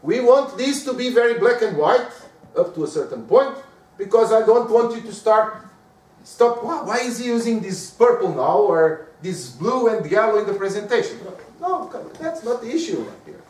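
High-voiced Portuguese man lecturing in an echoey room. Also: normal speed. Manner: lively, talks to the audience with frequent rhetorical questions. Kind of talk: lecture on giving presentations. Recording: echoey room, speaker far from the mic.

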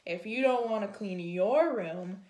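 A woman voicing a big, commanding mother canary with an emphatic, booming delivery in a children's story. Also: unhurried, a little mellow, reverberant, lightly acted. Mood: stern, commanding.

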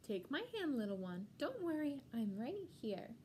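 A woman voicing a loving, gentle mother penguin in a children's storybook, tender and expressive. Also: fairly high pitch, unhurried, slight background noise. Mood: tender, reassuring. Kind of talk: children's picture-book read-aloud.

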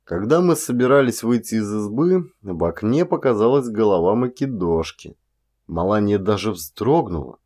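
Guy reading an audiobook, lowish voice, measured actorly storytelling. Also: expressive, darkish tone. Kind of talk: literary storytelling narration.

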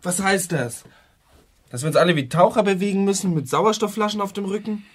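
An actor playing an earnest, indignant young activist in a radio play, urging action in a husky, idealistic way. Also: male voice, sits high, a little fast. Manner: urgent, idealistic. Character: earnest, indignant young male activist rallying his school. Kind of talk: German radio play acting.

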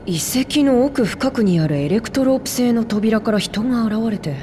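A woman's voice: a little high, normal speed, mellow, a little hiss or hum, close to an everyday voice. A woman voicing a young elf technician in a video game, polite, curious and blithely frank. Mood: reflective. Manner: polite, breezy, inquisitive.

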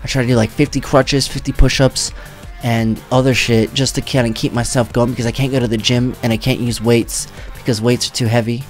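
A man's voice: medium pitch, little pitch movement, fairly quick, faint music behind. A young man in his twenties talking casually to camera from a home streaming setup, his voice a little worn and his talk loose and rambling with frequent swearing. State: voice weakened from treatment. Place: home streaming room, indoors.

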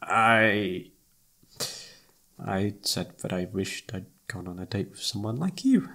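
A man's voice: fairly low pitch, lively intonation, slow, vocal fry, bright, forceful tone, full character voice. A man acting as an informal, emotive young best friend who is secretly in love, in a one-sided roleplay audio drama. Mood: flustered. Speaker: voice actor.

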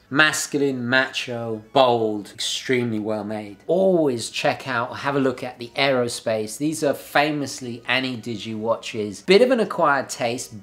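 An enthusiastic young man talks straight to the camera from his desk in a home office. His delivery is chatty and loose, full of fillers and quick restarts. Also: medium pitch, a bit bright. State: slightly hoarse, clearing throat. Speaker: watch channel host. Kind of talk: casual video review. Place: home office room with a map on the wall.

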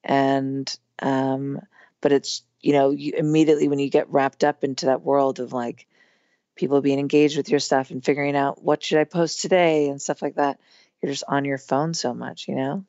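A woman in her thirties with a hoarse, strained voice, chatting casually as a podcast guest with lots of fillers. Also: a bass voice, flat delivery, normal speed, darkish tone, dry recording, lo-fi sound. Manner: chatty, rambling with many fillers. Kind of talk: casual conversation.

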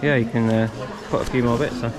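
A man's voice: mid-range voice, steady tone, quick pace, music in the background. A British man casually chatting and haggling as a customer at an indoor sale. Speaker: vlogger and reseller buying at a sale. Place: indoor church hall table top sale. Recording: loud busy hall crowd chatter.

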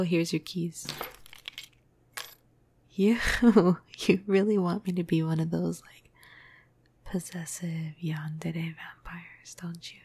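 Flirty, gentle female vampire for ASMR roleplay woman performer: breathy half-whisper, dark mellow tone, very even pitch, playful casual delivery. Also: no room sound, heavily acted. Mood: amused, teasing. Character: flirty, cuddly, teasing female vampire crush. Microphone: close microphone.

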